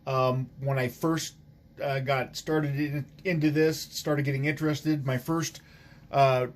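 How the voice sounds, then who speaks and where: A man speaking as an amateur radio operator in casual conversation, in a halting, hesitant way. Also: mid-range voice.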